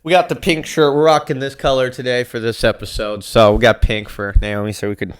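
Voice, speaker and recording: A man's voice: medium pitch, level tone, fairly quick, smooth. A man hosting a casual podcast interview in an art gallery, upbeat and joking. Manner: upbeat, chatty, loose and joking. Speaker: podcast host.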